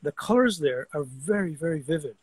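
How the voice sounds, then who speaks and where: Older man in his sixties, fairly high voice, dry close sound, animated storyteller on a video call. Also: a little fast, breathy edge, dry recording. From Guyana. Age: 60s. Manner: animated storyteller, frequent repeated words. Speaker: guest artist. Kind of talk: casual video-call conversation. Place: outdoor deck among trees. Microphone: video call webcam.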